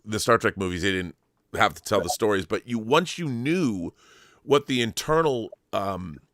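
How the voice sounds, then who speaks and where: A man's voice: on the low side, lively intonation, normal speed, fairly bright tone, no room sound. Emphatic male host, close dry sound. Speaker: podcast host, interviewer. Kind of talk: casual chat, enthusiastic fan commentary.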